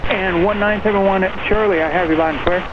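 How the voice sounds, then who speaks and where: A man's voice: high voice, steady tone, fast. A man working as an air traffic tower controller, talking over the radio. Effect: radio transmission. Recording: muffled radio audio, loud aircraft noise. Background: aircraft engine and airfield noise.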